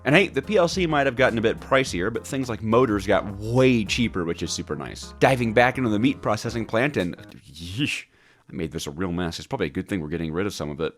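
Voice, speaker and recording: A man's voice: on the low side, lively intonation, quick pace, faint music behind. A man narrating gameplay from a script in a theatrical, playful and wry manner. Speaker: gaming video host.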